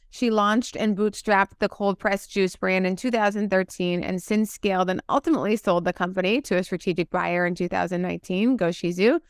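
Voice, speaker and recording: A woman's voice: medium pitch, smooth, dry recording, studio quality. A woman hosting a podcast interview, upbeat as she introduces and questions her guest. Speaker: podcast host.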